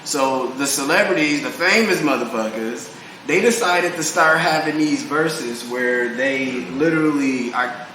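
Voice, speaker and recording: A man's voice: medium pitch, unhurried, pushed and bright. A man co-hosting a podcast, chatting casually in slangy, emphatic everyday speech. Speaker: podcast co-host. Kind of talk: casual chat, explaining a party game. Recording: echoey room, distant hissy background noise.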